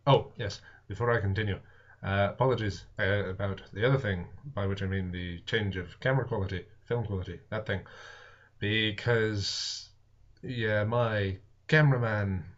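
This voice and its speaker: A man hosting a reading in a hesitant, apologetic way with dry, self-deprecating humour. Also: lowish voice. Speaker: host and audiobook reader. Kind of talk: casual rambling intro, then narrated reading of a classic novel. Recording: dull lo-fi camera audio.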